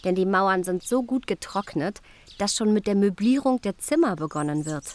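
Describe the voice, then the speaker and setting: An actress playing an earnest young queen, reading personal letters in a polite, measured way for a radio drama. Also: medium pitch, animated, natural voice. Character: Queen Luise, earnest young royal writing intimate letters.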